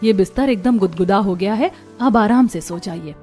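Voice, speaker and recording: A woman voicing a practical, caring young mother in a cartoon story, gently firm. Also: mid-range voice, moderate pace, slightly rough, faint music behind, natural voice. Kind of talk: Hindi cartoon story acting.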